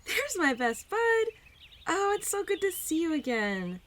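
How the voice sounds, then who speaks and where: A woman's voice: very high-pitched, sing-song, measured pace, a bit bright, exaggerated voice. A woman playing a bubbly, affectionate young spirit of Spring in a roleplay audio drama, talking to the listener in a gushing, giggly, teasing way. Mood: excited, delighted.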